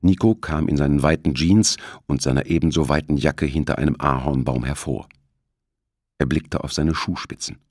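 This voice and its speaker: A man's voice: extremely low pitch, moderate pace, a little mellow, no room sound, clean studio sound. A man narrating a suspense novel as an audiobook, reading from a script. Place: close, dry studio recording.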